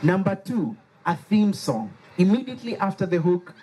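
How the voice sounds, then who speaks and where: Man, Liberian accent, slightly high voice, playful call-and-response workshop leader. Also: normal speed, slight background noise. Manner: animated, playful, has the audience repeat words. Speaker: workshop facilitator. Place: workshop room with a live audience.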